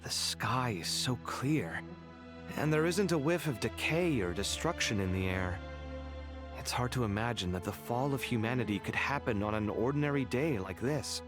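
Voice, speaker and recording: An actor playing a young male scholar in a game cutscene, expressive and quick to protest. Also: mid-range voice, music in the background, lightly acted. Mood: reflective. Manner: animated, exasperated, quick to protest.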